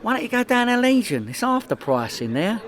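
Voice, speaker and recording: A man's voice: extremely high pitch, big pitch swings, a little fast. A man with a London accent playing a boastful, chatty small-time crook in a comedy radio play. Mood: chatty, cocky. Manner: bragging, colloquial, cocky.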